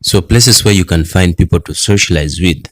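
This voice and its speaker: A young Kenyan man with a Kenyan accent, talking casually in a personal vlog, unhurried and pausing often with "uh". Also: low voice, fairly bright tone. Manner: unhurried, frequent "uh" pauses, list-like repetition. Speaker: vlogger.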